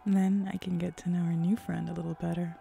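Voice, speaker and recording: Woman acting playful hellhound, female, teasing, sultry, low-pitched, creaky, ASMR roleplay. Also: little pitch movement, a little fast, dark tone, slight background noise. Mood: coaxing. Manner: teasing, sultry, with breathy whispers. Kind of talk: roleplay ASMR audio drama.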